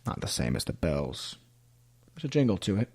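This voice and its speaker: A man's voice: low voice, big pitch swings, moderate pace, a little mellow, roomy sound, slight background noise. A man playing an anguished, lovesick court clown in a radio play, with a theatrical, poetic, brooding delivery. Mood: wistful, defeated.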